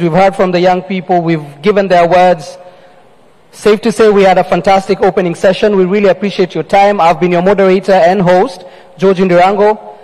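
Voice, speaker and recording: A male moderator closes out a session from the stage, upbeat and smooth. His voice sits high for a man. It carries a long reverb from the large hall. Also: a little mellow. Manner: upbeat, polished wrap-up. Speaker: moderator and host. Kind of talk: conference stage hosting.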